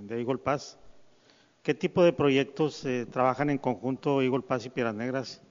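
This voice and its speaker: A man, a reporter formally addressing the mayor with a question. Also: mid-range voice. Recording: echoey hall, dull lo-fi, off mic.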